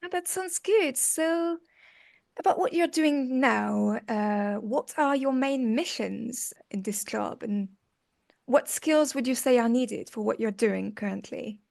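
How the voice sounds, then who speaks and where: A woman's voice: on the high side, slow pace, creaky. A woman hosting a podcast, reading a scripted intro and asking friendly interview questions. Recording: intro over loud music.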